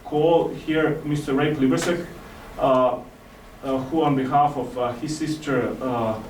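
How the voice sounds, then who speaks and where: A man with a Slovenian accent giving a formal reception speech as ambassador, halting with frequent "uh" pauses. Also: medium pitch, steady tone. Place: large hotel reception hall. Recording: echoey hall from far off with room noise.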